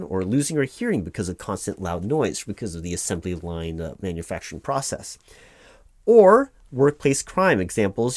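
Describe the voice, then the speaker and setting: A man's voice: low voice, darkish tone, dry recording. A man playing an officious, evasive company clerk reading paperwork aloud in a one-sided ASMR roleplay, with bureaucratic, hedging reassurance. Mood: evasive, reassuring. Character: officious, evasive male company clerk walking an injured employee through forms.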